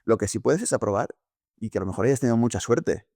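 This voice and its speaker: A man's voice: mid-range voice, lively intonation, fast, dark tone, dry recording, clean studio sound. A man co-hosting a casual podcast, explaining ideas step by step with hesitations and self-corrections. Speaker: podcast co-host.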